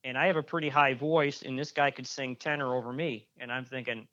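Male musician guest, mid pitch, chatty and rambling, dull lo-fi recording. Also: steady tone, a little fast, bright, forceful tone. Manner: rambling, many restarts and fillers. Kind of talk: casual interview chat.

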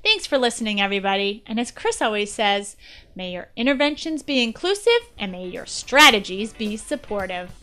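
A woman's voice: mid-range voice, big pitch swings, normal speed, bright, forceful tone, soft background music. A woman guest-hosting an educational podcast, reading prepared material in a presentational way. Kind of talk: scripted educational podcast narration.

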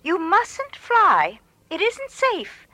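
An actress playing an anxious, doting elderly aunt in a radio drama, pleading and fretful. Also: female voice, very high voice, wildly swooping pitch, very slow, bright, forceful tone, no echo, heavily acted. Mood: alarmed, pleading.

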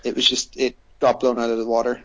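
A man of middle pitch chats casually as a podcast guest. He is relaxed and hesitant, filling his pauses with ums. The audio is dull and lo-fi, as on an online call. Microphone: remote call microphone.

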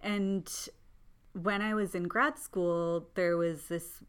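A woman who grew up in Southern California, a podcast guest telling her life story in an earnest, reflective way with frequent small pauses. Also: lowish voice, fairly even intonation, clean tone. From Southern California, born in India. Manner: earnest, thoughtful, often pauses with "like" and soft laughs. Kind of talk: reflective personal storytelling in conversation.

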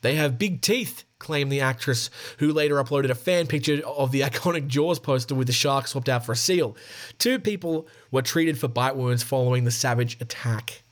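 A man's voice: mid-range voice, normal speed. A man hosting a podcast, reading a news article aloud with wry, sarcastic asides and frequent self-corrections. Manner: wry, sarcastic running commentary. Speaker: podcast host.

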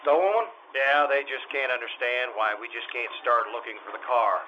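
A man's voice: mid-range voice, moderate pace, highs cut off, very noisy, natural voice. A man playing a businesslike, patient police detective in an old-time radio drama, with a clipped, dry, matter-of-fact delivery.

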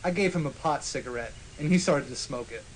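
Guy reading fanfic out loud for laughs, mock serious, highish voice, bit of background noise. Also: fairly quick, rough recording. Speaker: podcast host reading as narrator. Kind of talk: comic dramatic reading of fan fiction.

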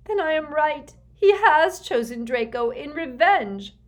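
A woman's voice: high voice, expressive, unhurried, pitched above the performer's own voice. A woman voicing a desperate, tearful aristocratic mother in an audiobook, pleading and sobbing. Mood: anguished, urgent. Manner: sobbing, beseeching. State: crying.

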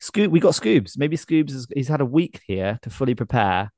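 A man's voice: medium pitch, big pitch swings, moderate pace, darkish tone, dry recording, studio quality. A man hosting a football podcast in casual, wry, self-deprecating banter. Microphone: close podcast mic on a video call.

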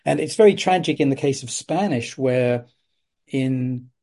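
A man hosts a conversational academic interview. His voice is mellow and dark at an ordinary middle pitch. He talks in long, articulate, discursive stretches. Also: a little slow. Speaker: interviewer host.

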